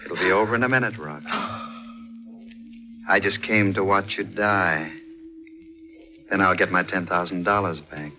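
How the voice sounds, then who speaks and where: A man's voice: low voice, pushed and bright. An actor playing a cold, vengeful man gloating and taunting with contempt in a 1940s radio drama. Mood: cold menace. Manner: menacing, deliberate, taunting. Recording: vintage radio recording with faint music.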